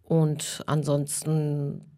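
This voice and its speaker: A woman in her forties, raised in Germany, talking earnestly as an interview guest, with frequent pauses to think. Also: sits low, monotone, mellow. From Iran, raised in Germany. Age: 40s. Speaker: guest, women's network chair.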